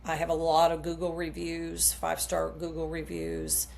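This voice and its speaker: A middle-aged woman speaks as an interview guest, explaining her coaching work in an earnest, down-to-earth way. Her voice sits low with a gravelly, fry-tinged texture. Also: monotone, moderate pace, a little mellow, a little hiss or hum. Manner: earnest, explains with homely metaphors. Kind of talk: podcast interview answers.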